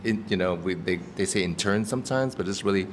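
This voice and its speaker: A man with a low voice speaks earnestly and thoughtfully, pausing to restart his sentences. He is recorded in a restaurant with lingering reverb. Clear background noise sits under his voice. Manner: earnest, reflective, with many restarts and ums. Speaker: actor and activist guest. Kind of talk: casual interview talk.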